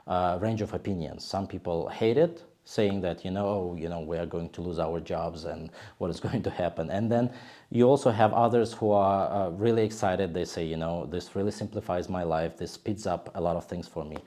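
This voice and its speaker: A man giving explanatory interview answers as an exams executive, in measured phrases with frequent pauses. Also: low-pitched, steady tone, mellow.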